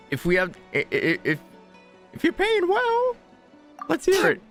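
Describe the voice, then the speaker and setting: A man's voice: extremely high pitch, huge pitch swings, takes it slowly, fairly bright tone. A man giving casual gameplay commentary as a streamer, thinking aloud with wry, sarcastic asides. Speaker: game streamer. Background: game music.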